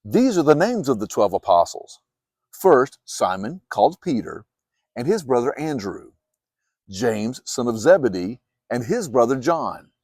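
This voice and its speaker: Guy reading bible stories, super animated intonation, very dry close sound, clear phrasing. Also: medium pitch, unhurried, no room sound. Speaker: Bible narrator. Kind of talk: dramatized scripture narration.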